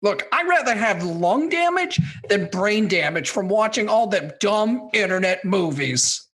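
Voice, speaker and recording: Actor as grumbling boomer film critic, sixties, opinionated, combative, comedy radio banter. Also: expressive, measured pace, fairly bright tone. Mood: indignant. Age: 60s. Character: cranky opinionated 68-year-old film critic, a baby boomer.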